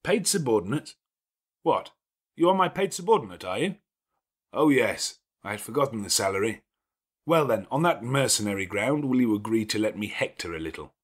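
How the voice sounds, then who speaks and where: Imperious, brooding master of the house played by a male narrator: low-pitched, emphatic, self-reproaching, classic-novel dialogue. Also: lively intonation, normal speed, slightly rough. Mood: mocking, emphatic. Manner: emphatic, commanding, declamatory.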